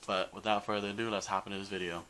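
A man's voice: on the low side, flat delivery, racing, background noise. A man, chatting casually to camera as a vlog host in a bedroom. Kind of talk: casual chat to camera introducing a video. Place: small bedroom, indoors.

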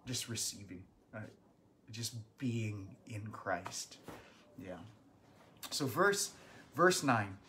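A man, a pastor teaching a Bible study from home, earnest and animated, with bursts of emphasis. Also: mid-range voice. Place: small living room at home.

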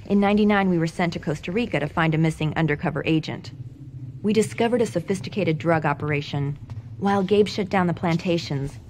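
An actress playing a composed, capable intelligence agent, measured and matter-of-fact, in a video game. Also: female voice, on the low side, a little mellow, natural voice. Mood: measured testimony. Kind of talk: scripted game cutscene acting. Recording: game audio with background noise.

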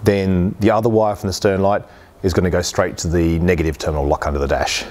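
Male how-to host, 40s, fairly low pitch, practical and plain-spoken, talking through each step. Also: little pitch movement, fast talker, a little mellow. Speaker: host of boat repair channel. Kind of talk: how-to demonstration, explaining steps. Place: workshop garage, indoors.

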